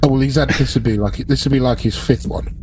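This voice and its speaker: A man's voice: mid-range voice, steady tone, fast talker, darkish tone, a little hiss or hum. A man taking part as a player in a tabletop roleplaying game, chatting casually and speaking for his character.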